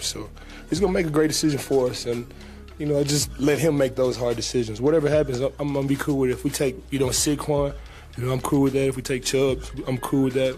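Casual young man at a press conference. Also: medium pitch. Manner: relaxed, casual, many fillers and repeats. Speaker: pro football player at a press conference. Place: team press room, indoors. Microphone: table mic in front.

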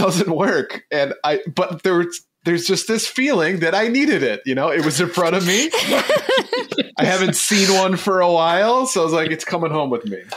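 A man with a mid-range voice on a gaming podcast. He talks in a relaxed, easygoing way and often laughs at himself. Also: mid-range voice. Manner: laid-back, joking. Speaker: podcast co-host. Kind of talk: casual chat.

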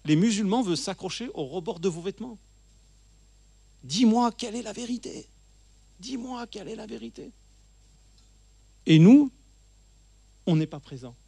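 Middle-aged guy preaching at a table into a handheld mic, kinda high voice, dry close sound, keeps repeating lines for emphasis. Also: lively intonation, moderate pace, creaky, pauses often, warm tone, breathy edge, no room sound. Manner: earnest, emphatic, often repeats phrases for stress. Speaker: guest speaker. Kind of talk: church teaching talk. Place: church hall, seated at a table.

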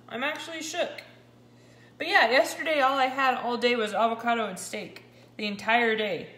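A woman in her forties chatting casually to camera at home, excitable and a little scratchy-voiced. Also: high voice. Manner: chatty, excitable, talks while handling a meter. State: slightly scratchy voice. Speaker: keto vlogger. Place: kitchen at home, indoors. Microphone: camera pointed down at the countertop. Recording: echoey kitchen with clear background noise.

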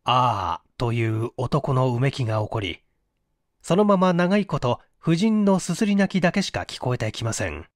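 A man's voice: medium pitch, very animated, moderate pace, a little mellow, no echo, studio quality. A man narrating a literary mystery story in a dramatic audiobook style. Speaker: audiobook narrator, stage performer.

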